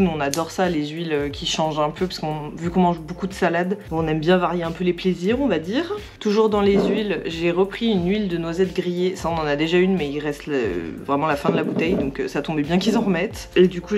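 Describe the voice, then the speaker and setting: A hoarse young woman from France chatting casually in a home vlog, with many fillers and little laughs. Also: on the low side, darkish tone. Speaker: vlogger. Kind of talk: casual daily vlog chat to camera.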